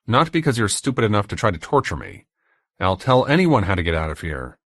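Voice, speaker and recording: A man's voice: fairly low pitch, very animated, brisk, fairly bright tone, no room sound, clean studio sound. A man voicing a weary, defiant American captive from a novel, in a plain, blunt manner.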